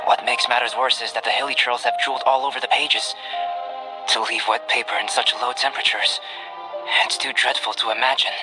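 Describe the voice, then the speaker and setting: Game role for a male actor: young male scholar, reserved and analytical, slightly higher pitched, formal measured phrasing, music underneath. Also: little pitch movement, natural voice, low-quality recording. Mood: composed concern. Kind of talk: video game cutscene voice acting.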